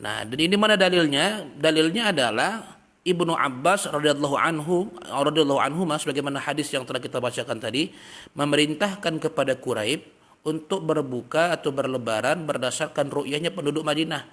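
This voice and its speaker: A man teaching a religious study lesson, reading passages in Arabic and then explaining them in a relaxed, chatty way with everyday examples. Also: a little high, brisk. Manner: reads Arabic text, then explains in plain talk. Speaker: Islamic teacher.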